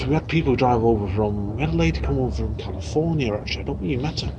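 A man's voice: mid-range voice, animated, rapid-fire, a crowd in the background. A man interviewing a guest in a casual chat, rambling and restarting his sentences as he jumps between thoughts. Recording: muffled with traffic noise behind.

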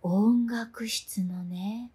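A woman's voice: medium pitch, fairly even intonation, very slow, warm tone, slightly rough, voice pushed down, exaggerated voice. A woman playing a friendly, quirky teenage schoolgirl in a radio drama, telling things with guileless eagerness. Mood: eerie, eager. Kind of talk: conversational radio drama acting.

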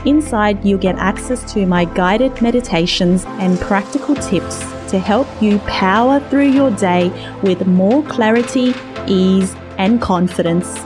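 A woman's voice: mid-range voice, a little slow, few pauses. A woman hosting a podcast interview, encouraging her guest and reading a promo. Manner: encouraging, with brief affirming replies. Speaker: podcast host. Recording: music playing behind.

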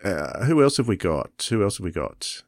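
A man's voice: low-pitched, sing-song, normal speed, a little mellow, no room sound, studio quality. A man co-hosting a podcast, chatting casually. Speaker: podcast co-host.

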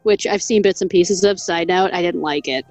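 A woman's voice: fairly low pitch, moderate pace, darkish tone, no room sound, soft background music. A woman co-hosting a podcast, reading from an article in a casual, chatty way, laughing often and stumbling over names. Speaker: podcast co-host.

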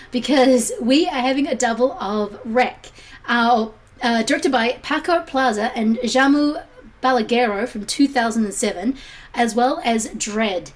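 A woman hosting a casual film podcast, her voice slightly croaky from a sore throat. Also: fairly high pitch. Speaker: podcast host.

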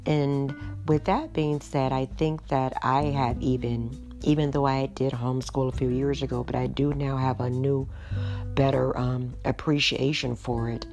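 Very low hoarse woman hosting a podcast. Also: level tone, darkish tone. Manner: chatty, unhurried, many ums. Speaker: podcast host. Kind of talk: casual solo podcast talk. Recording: music playing underneath.

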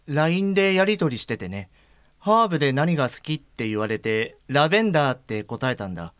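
A man's voice: fairly high pitch, sing-song, brisk, fairly bright tone, smooth, no echo. An actor playing a thoughtful, perceptive blind man in an audio drama, talking in a reflective, unforced way. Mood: pensive. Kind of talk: Japanese audio drama acting.

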